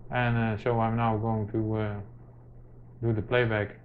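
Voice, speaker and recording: Halting man on noisy, dull audio. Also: lowish voice, level tone. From Netherlands. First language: Dutch. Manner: hesitant, halting delivery with very frequent filler sounds. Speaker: tech tutorial presenter. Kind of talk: unscripted how-to demonstration. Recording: dull lo-fi camera audio, clear noise.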